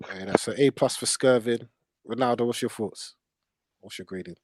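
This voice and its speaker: A man's voice: mid-range voice, normal speed, darkish tone, breathy edge, no room sound. A man hosting a casual football discussion, blunt and opinionated and full of slang. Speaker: football podcast host.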